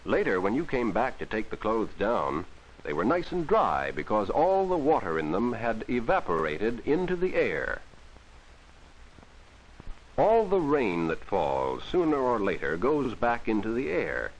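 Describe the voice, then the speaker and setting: Man, vintage 1940s film soundtrack, children's educational narration, very animated swooping pitch, instructive, simple explanations. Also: a little slow, slight background noise, low-quality recording.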